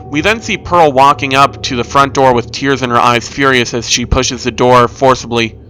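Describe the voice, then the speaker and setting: A man's voice: mid-range voice, normal speed, bright, forceful tone, faint music behind, rough recording. A man reading a scripted first-person horror story as its narrator.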